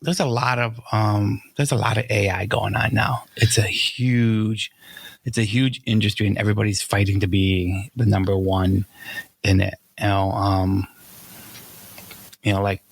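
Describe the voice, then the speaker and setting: A man's voice: fairly low pitch, little pitch movement, measured pace, creaky, husky, no echo. A man chatting casually and bluntly as a podcast co-host. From Rome, New York, United States. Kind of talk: casual conversational chat.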